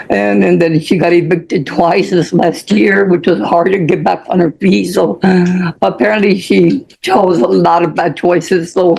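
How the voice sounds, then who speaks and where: A woman's voice: on the low side, gravelly, darkish tone, slightly rough, rough recording. An older woman giving an emotional, rambling plea as a witness in a courtroom. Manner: rambling, pleading. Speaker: witness, defendant's mother.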